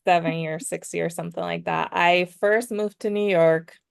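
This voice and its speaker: A woman's voice: fairly low pitch, steady tone, moderate pace, vocal fry, clean tone, no echo, studio quality. A woman, telling personal stories casually as a podcast guest, sometimes switching between English and Spanish. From Dominican Republic. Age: 30s. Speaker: podcast guest, clothing brand owner.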